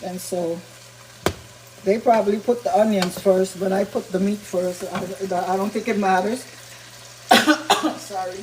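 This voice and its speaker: Woman, slightly raspy, chatty cooking host, explains as she goes, home kitchen, sizzling pan behind her. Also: medium pitch, steady tone, a little slow, long pauses, slight background noise, rough recording. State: slightly raspy from talking a lot. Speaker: vegan cooking channel host. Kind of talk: casual cooking demonstration. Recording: kitchen with loud sizzling food. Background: frying and sizzling sounds.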